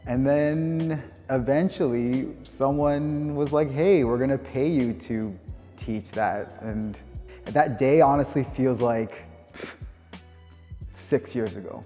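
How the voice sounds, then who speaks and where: A man in his thirties with a Canadian accent, speaking informally and reflectively as if answering interview questions, pausing and rephrasing as he goes. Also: mid-range voice, mellow. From Winnipeg, Canada. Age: 30s. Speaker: dance teacher. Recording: long reverb, music underneath. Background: music.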